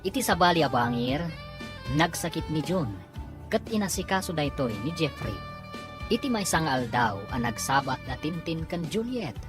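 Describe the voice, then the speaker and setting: Her voice sits very low but swings widely as she tells the story in a measured, announcer-like way. Clear music plays behind her the whole time. She is introducing and recapping a serialized drama. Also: big pitch swings. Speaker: narrator. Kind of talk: scripted drama narration. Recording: narration over clear music.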